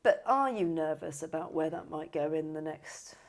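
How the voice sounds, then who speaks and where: A woman's voice: low-pitched, lively intonation, normal speed, creaky. A woman interviewing a guest in a casual, supportive and conversational way. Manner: supportive, frequent brief agreement. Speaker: podcast host, interviewer.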